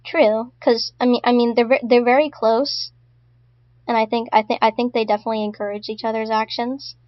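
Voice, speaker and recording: Woman streamer who stutters a lot, voice a bit high, dry dull-sounding mic. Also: little pitch movement, fairly quick, no echo. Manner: stutters with many repeated words. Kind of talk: casual live-stream commentary.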